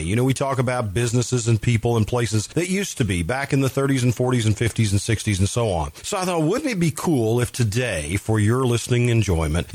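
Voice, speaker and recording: A man's voice: medium pitch, no echo. A man hosting a radio show, chatting casually in a folksy, conversational way as he introduces music. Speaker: radio host.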